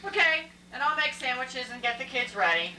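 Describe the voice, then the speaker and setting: A woman's voice: high voice, very animated, a little fast, pushed and bright, exaggerated voice. A woman voicing a wry, weary suburban mother in an amateur puppet show. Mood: matter-of-fact. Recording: echoey, noisy room from far off.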